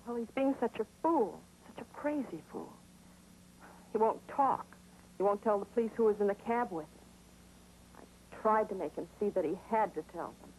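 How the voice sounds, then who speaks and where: A woman's voice: medium pitch, expressive, normal speed, darkish tone, slight background noise, lightly acted, poor audio. An actress playing a worried, weary American wife and mother in a 1950s TV drama.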